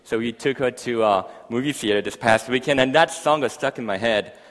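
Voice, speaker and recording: A man's voice: mid-range voice, brisk, a bit bright. A middle-aged man with a foreign accent, a visiting pastor giving an informal, conversational sermon to a congregation. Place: large church hall, speaking to a congregation. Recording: echoey hall with long reverb.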